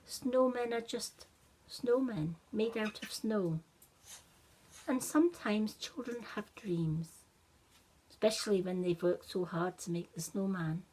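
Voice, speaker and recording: A tender storybook mother played by a woman narrator. She speaks slowly and soothingly, gently reassuring a child. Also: medium pitch, breathy edge, rough recording. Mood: soothing, doubting.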